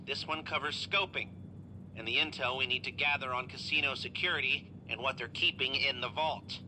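Heist planner, super bright voice, businesslike and dry, faint hiss. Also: male voice, mid-range voice, poor audio. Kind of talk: video game dialogue.

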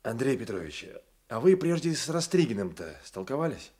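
A man's voice: medium pitch, big pitch swings, normal speed, darkish tone. An actor playing an inquisitive townsman questioning his superior in a radio drama. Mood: curious. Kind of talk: Soviet radio drama acting.

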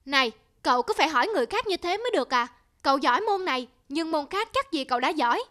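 Woman doing hurt little schoolgirl standing her ground, cartoon, high voice, music under it. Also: animated, quick pace, a bit bright. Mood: indignant. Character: defiant, wounded little schoolgirl classmate. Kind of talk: cartoon dialogue.

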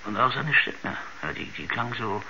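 An actor playing a rambling, uneasy village shopkeeper who stammers and repeats himself in a 1970s radio drama. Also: male voice, on the low side, lively intonation, normal speed. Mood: uneasy, puzzled. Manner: hesitant, stammering, repetitive, rambling. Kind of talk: 1970s science-fiction radio drama acting. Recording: dull, noisy 1970s radio recording.

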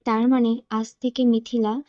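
A woman's voice: on the high side, level tone, measured pace, a little mellow, highs cut off. A woman narrator reading a scripted romance story.

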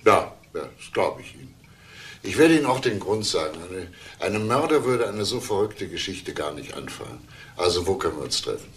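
An actor playing a patient, probing older police detective in a radio crime drama, calm and deliberate. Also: male voice, sits low, a little hiss or hum. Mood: probing, coaxing.

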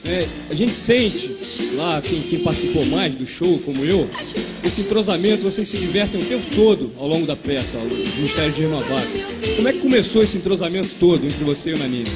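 A Brazilian man in his thirties asks a question from a studio panel into a handheld mic. His voice is slightly high with animated swings, over loud music. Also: brisk. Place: TV studio audience seating. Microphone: handheld microphone.

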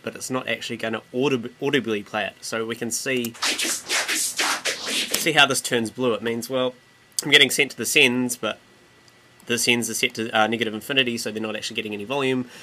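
Bright-voiced man giving a casual tutorial. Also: mid-range voice, fairly quick. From New Zealand. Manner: informal, explanatory, repeats and rephrases himself. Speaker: music production tutor. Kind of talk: casual screen-recorded software tutorial.

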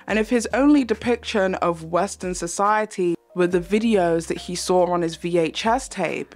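A young English woman giving a measured commentary to camera from her bedroom, holding a handheld mic. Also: on the low side. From England. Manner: measured, explanatory. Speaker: YouTube commentator. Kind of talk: commentary think piece to camera.

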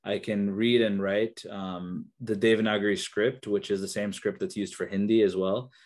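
A young man whose first language is Kannada speaks on a video call in a fairly low voice. He talks haltingly, with many ums and restarts, as an interview guest. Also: level tone, darkish tone. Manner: hesitant, many fillers and restarts. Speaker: interview guest, researcher and therapist.

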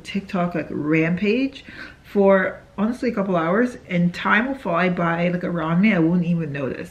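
A young woman vlogging casually from a hotel bed, rambling and chatty with lots of fillers and self-corrections. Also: fairly low pitch. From Canada. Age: young adult. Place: hotel room, indoors, sitting in bed. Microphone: phone camera held close.

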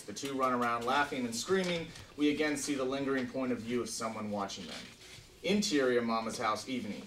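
A man's voice: medium pitch, fairly bright tone. A man leading a film table read as writer-director in an informal way, talking casually and reading script directions aloud. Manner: informal, profane. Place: meeting room with a conference table. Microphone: distant camera microphone. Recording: roomy, distant camera mic, background noise.

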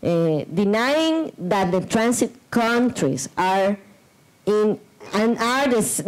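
A woman reads her conference paper aloud at a desk microphone in a large lecture room. Her delivery is measured and careful, broken by frequent "eh"s and restarted words. Her voice sits at a middling pitch. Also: a little mellow, poor audio. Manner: measured reading with hesitant fillers and restarts. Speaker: conference panelist. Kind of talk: reading a prepared academic paper.

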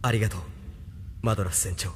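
A man's voice: fairly low pitch, steady tone, quick pace, close to an everyday voice. A man playing an earnest, self-doubting young hero in a Japanese audio drama, sounding troubled. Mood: wondering. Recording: long hall reverb, faint noise.

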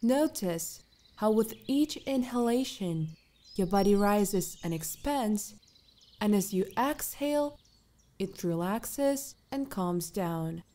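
A woman guiding a meditation with scripted instructions, speaking in a calm, soothing, measured way with long pauses. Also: mid-range voice. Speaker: meditation guide.

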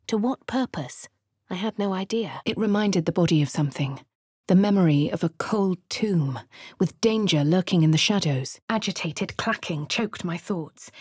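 A woman's voice: on the low side, darkish tone, slightly rough, no echo, clean studio sound. An actress with a posh English accent voicing a wry female fantasy adventurer in a video game, narrating and speaking in character.

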